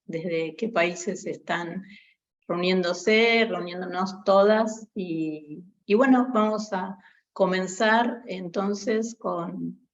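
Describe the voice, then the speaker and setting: A woman giving an online theology class, speaking haltingly with many fillers. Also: fairly low pitch, highs cut off. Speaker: guest lecturer.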